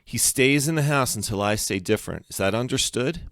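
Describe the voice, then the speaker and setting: A man's voice: mid-range voice, expressive, fairly quick, a bit bright, dry recording, studio quality, pitched above the performer's own voice. A man voicing a protective mother who lays down rules in a strict, no-nonsense way for a story. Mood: firm.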